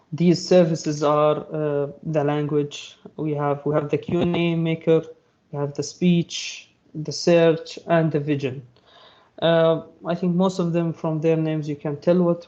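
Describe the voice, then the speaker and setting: A fairly high-voiced man whose first language is Arabic walks through a technical topic in a halting, filler-heavy way. His tone is mellow and soft. The sound is dull and lo-fi. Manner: halting, many fillers and restarts. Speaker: presenter. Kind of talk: technical talk.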